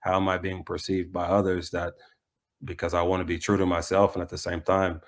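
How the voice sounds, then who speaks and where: An American man talking reflectively in a conversational interview, often pausing mid-thought to restate himself. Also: low voice, completely flat delivery. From United States. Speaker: soccer coach being interviewed.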